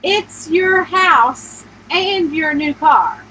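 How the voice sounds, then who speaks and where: A woman voicing a matter-of-fact male lawyer in a story reading, deliberate and unsympathetic. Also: sits high, lively intonation, bright, forceful tone, a little hiss or hum, full character voice, poor audio. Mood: curt. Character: Stanley, matter-of-fact male lawyer delivering bad news.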